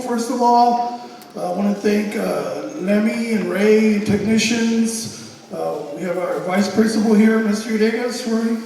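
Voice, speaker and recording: Halting man in a very echoey hall. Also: high-pitched, a little mellow. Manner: halting, hesitant. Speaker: presenter of a school theater show. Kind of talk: informal thank-you speech to audience. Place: school theater. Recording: very echoey hall, distant mic, noisy.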